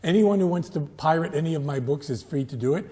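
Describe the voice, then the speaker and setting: Older man, measured storyteller, mellow, lapel mic, reverberant studio. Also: a little high, expressive. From United States. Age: 70s. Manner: measured, storytelling, occasional self-correcting restarts. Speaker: guest historian. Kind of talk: relaxed studio interview.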